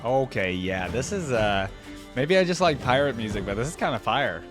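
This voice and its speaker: A man's voice: mid-range voice, sing-song, normal speed, over music. A man hosting a reaction video, giving casual off-the-cuff commentary in colloquial language as he thinks aloud.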